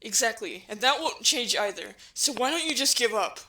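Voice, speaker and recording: A woman's voice: a little high, animated, moderate pace, sharp tone, low-quality recording. A woman playing a brave, protective young hero in an audio drama, speaking in an earnest, firm way. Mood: defiant.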